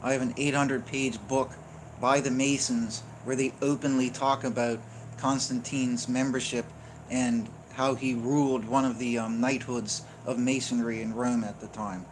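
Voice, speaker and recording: A man's voice: mid-range voice, little pitch movement, unhurried, low-quality recording. A man teaching in an unscripted, insistent way, explaining point by point and checking in with "right?" and "okay?". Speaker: religious teacher. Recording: noticeable background noise.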